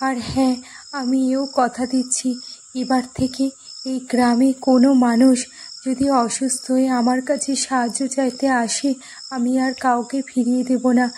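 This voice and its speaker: A woman's voice: high-pitched, level tone, normal speed, a little mellow, slight background noise, close to an everyday voice, rough recording. A woman voicing a repentant landlord's wife, contrite and earnest, in cartoon story dialogue. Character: repentant landlord's wife making a solemn promise.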